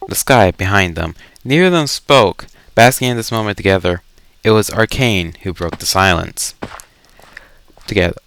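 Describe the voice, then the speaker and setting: A man reading a story aloud in deliberate storybook phrasing, with casual asides. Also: on the low side, animated, fairly bright tone, dry recording. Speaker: reader of a fan story. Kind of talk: dramatic read-aloud narration with casual asides.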